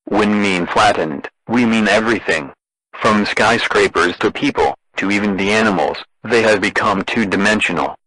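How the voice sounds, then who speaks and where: A man reading a scripted emergency broadcast as an announcer, in a formal, deadpan manner. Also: fairly low pitch, dry recording, poor audio.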